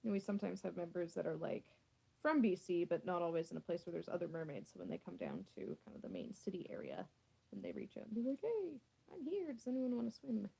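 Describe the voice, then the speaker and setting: A woman's voice: on the low side, sing-song, fast talker, creaky, mellow, slightly rough, rough recording. A young woman from the west coast of Canada with a West Coast Canadian accent, chatting casually and laughing often, her sentences rising at the end. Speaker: host. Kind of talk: casual chat.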